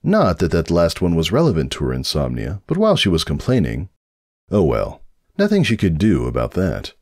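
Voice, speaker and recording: A man's voice: low-pitched, huge pitch swings, moderate pace, a little mellow, dry recording, studio quality. A man reading a scripted comic story as narrator in a wry, dramatic way. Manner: wry, theatrical. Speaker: narrator, fanfiction reader.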